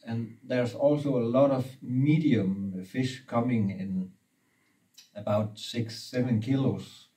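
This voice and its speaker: A fishing guide in his forties whose first language is Danish, explaining steadily with pauses, recorded indoors from a few feet away. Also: medium pitch, dark tone, distant mic, poor audio. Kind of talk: casual interview. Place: indoor room with brick wall and river map.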